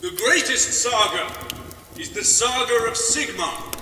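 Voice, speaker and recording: A man's voice: extremely high pitch, animated, unhurried, sharp tone, roomy sound, long reverb tail, recorded from a distance, a little hiss or hum, exaggerated voice. A man voicing a grandiose, rousing saga orator in a declamatory fantasy speech. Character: rousing saga-singing orator rallying men of the Empire. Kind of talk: fantasy oration.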